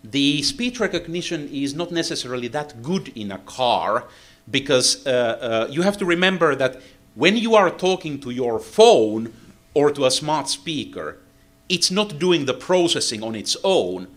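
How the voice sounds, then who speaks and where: A man from Finland, a native Finnish speaker with a Finnish accent, giving a technical seminar lecture in English with frequent "uh, uh" pauses. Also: mid-range voice, big pitch swings. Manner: halting, many "uh, uh" fillers.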